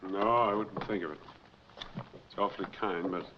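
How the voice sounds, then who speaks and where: Actor as a low-voiced, earnest film noir fugitive. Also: male voice, steady tone, moderate pace, close to an everyday voice. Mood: determined. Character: wrongly accused man on the run, earnest, embittered. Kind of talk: 1940s film noir acting. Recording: dull, noisy 1940s film soundtrack.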